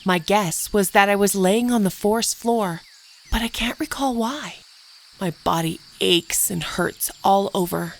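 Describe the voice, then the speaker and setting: A woman playing a wounded preteen camp girl, narrating a horror story in the first person in a deliberate, detached, dramatic way. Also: medium pitch, natural voice. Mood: confused, pained. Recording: music behind the voice.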